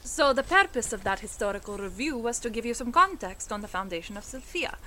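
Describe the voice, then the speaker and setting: An actress playing a long-lived, scholarly woman in an audio drama, articulate and measured, with a teasing streak. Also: fairly high pitch, expressive, sharp tone, a little hiss or hum. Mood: explanatory.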